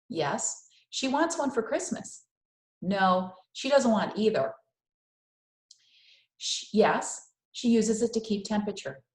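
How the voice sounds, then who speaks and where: Expressive woman reading aloud, roomy echo. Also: medium pitch. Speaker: storytime reader and teacher. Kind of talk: expressive children's read-aloud narration. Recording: echoey room sound.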